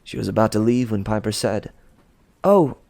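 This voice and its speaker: A man reading a storybook aloud as expressive narration, with a gravelly voice. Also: lowish voice, fast talker, dry recording. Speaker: storybook reader.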